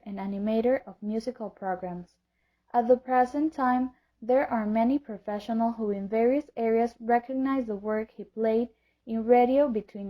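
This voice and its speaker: High-pitched woman narrator, slightly muffled audio. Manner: formal, reading from a script. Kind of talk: scripted historical narration. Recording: dull lo-fi audio.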